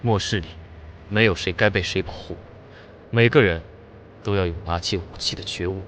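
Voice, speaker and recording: A man voicing a stern, battle-hardened commander in an animated drama, terse, cool and commanding. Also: deep voice, normal speed, slight background noise. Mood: resolute. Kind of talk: animated motion-comic drama dubbing.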